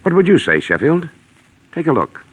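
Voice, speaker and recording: An American actor playing a suspicious, hot-tempered client in a 1940s radio crime drama. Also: male voice, a little high, huge pitch swings, moderate pace, breathy edge, exaggerated voice. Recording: vintage 1940s radio recording.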